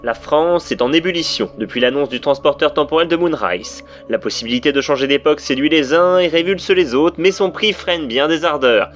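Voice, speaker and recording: A man's voice: a little high, lightly acted. A man acting as a serious, factual news reporter reading a scripted bulletin in an audio drama.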